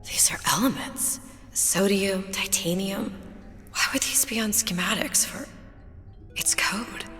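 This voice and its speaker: Anxious, clever young heroine, echoing. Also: female voice, medium pitch, takes it slowly, whispered. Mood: thinking aloud. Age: young adult. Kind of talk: dramatic video game dialogue. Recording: long reverb, loud music behind.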